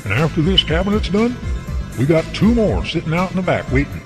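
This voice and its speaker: A man's voice: fairly high pitch, very animated, brisk, music in the background. A man acting a hearty, friendly workshop boss in an amateur radio drama, folksy and encouraging. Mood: busy.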